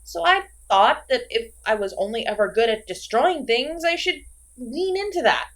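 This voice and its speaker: A woman's voice: on the high side, expressive, moderate pace, close to an everyday voice. A woman playing an insecure, petulant, chatty slasher killer in a comedic horror audio roleplay, theatrical and exasperated, swinging between sulky and manic. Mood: wistful, dramatic.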